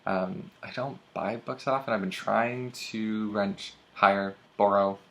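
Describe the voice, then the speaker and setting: Gravelly, low-voiced young man chatting. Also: steady tone, a little slow. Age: young adult. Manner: chatty, many ums and uhs. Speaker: booktuber. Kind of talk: casual book tag vlog.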